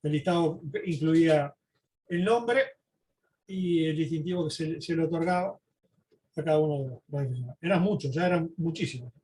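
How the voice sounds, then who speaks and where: A man giving an informal slide lecture, halting, repeating words and often adding short questioning tags. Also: a little high, a little mellow, slight background noise, low-quality recording. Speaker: presenter giving a history talk.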